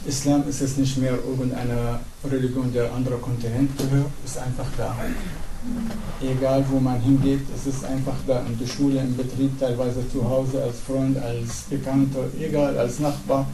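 A middle-aged man from Syria with an Arabic accent, a pastor giving an earnest, halting evening talk to a congregation. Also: medium pitch, level tone. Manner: earnest, halting, with pauses and fillers. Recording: echoey hall, distant mic, faint noise.

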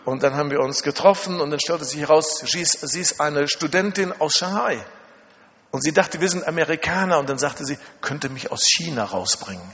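A man's voice: fairly high pitch, a little fast, a bit bright. A middle-aged man from Germany preaching a sermon, telling personal stories emphatically with dramatic repetition and rhetorical questions. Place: large reverberant hall. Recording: muffled, long hall reverb.

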